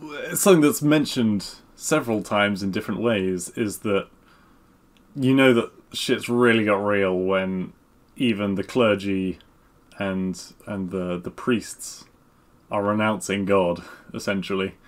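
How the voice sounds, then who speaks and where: A man with a lowish voice co-hosts a casual podcast about books. He speaks informally and with a wry edge, chiming in with details. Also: measured pace. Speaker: podcast co-host. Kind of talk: casual book discussion.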